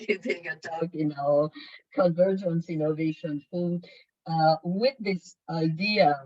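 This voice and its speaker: French-native woman professor, lower voice, measured, lo-fi call sound. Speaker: professor, seminar presenter. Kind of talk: academic discussion.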